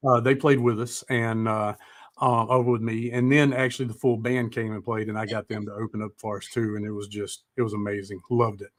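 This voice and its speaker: A man's voice: fairly low pitch, flat delivery, a little fast. A man hosting a music talk show, chatting in an informal, enthusiastic way. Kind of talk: casual chat, interviewing.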